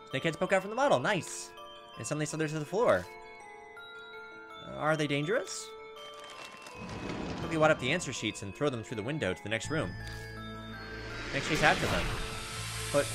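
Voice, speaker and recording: A man giving a casual let's play commentary, thinking out loud, reading game text and tossing in exasperated asides. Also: a little high. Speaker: gaming video host. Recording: background music behind voice. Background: video game music.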